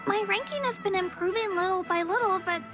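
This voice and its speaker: Earnest childlike girl for a game, squeaky-high and expressive, music underneath. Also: a little fast. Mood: anxious. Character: small earnest young girl, anxious yet determined. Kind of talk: video game voice acting. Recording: music behind the voice.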